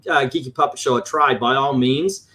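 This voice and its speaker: A man's voice: medium pitch, fairly quick, sharp tone. A man chatting casually about comic books in an easygoing, conversational way. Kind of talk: casual comic book chat.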